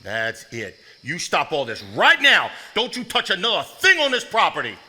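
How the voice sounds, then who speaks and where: An actor in a live stage play playing a protective, authoritative head of household with a folksy manner. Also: male voice, sits high, huge pitch swings, normal speed, sharp tone, heavily acted. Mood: stern. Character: protective, stern but tender head of household.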